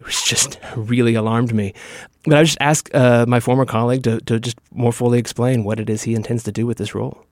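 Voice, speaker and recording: A man's voice: medium pitch, fairly even intonation, brisk, no echo, studio quality. A man giving interview answers as a state legislator.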